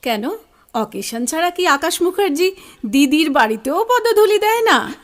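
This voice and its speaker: An actress playing Moni, a brisk young woman who teasingly bosses around a younger cousin. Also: extremely high pitch, sing-song, full character voice. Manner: teasing, bossy. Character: brisk young woman Moni, caring older sister type.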